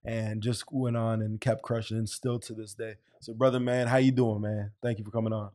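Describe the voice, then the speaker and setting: A man's voice: fairly low pitch, little pitch movement, brisk, darkish tone. A man hosting a casual interview, talking in a hearty, friendly way and calling his guest "man" and "brother.". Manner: hearty, buddy-like, keeps saying "man". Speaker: podcast host.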